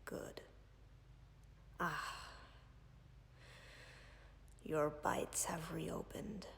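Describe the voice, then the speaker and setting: A woman voicing a calm, ancient female dragon who tends a wounded human, speaking in a whispery, gravelly, measured and formal way in an audio roleplay. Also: sits low, in a large hall, heavily acted. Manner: measured, formal, dryly commanding.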